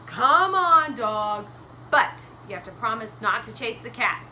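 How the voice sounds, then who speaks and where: Woman playing a loud, firm storybook grandpa. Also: on the high side, animated, measured pace, slight background noise, exaggerated voice. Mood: firm, agreeable. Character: firm, kindly grandpa boatman.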